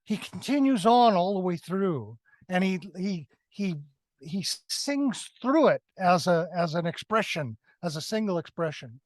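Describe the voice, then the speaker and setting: A man with a fairly high voice speaks as a co-host in a casual review discussion. He explains things in a halting way, restarting and correcting himself. The sound is very dry and close, with no room echo. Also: expressive. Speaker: podcast co-host reviewing films.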